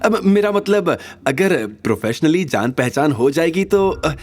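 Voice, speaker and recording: A man's voice: a little high, lively intonation, measured pace, a little mellow, pitched above the performer's own voice. A scheming middle-aged mother from an audio drama, acted by a man. She coaxes slyly and greedily, her lifted voice swinging up and down over faint music. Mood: coaxing, awkward. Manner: coaxing, sly. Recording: music bed behind.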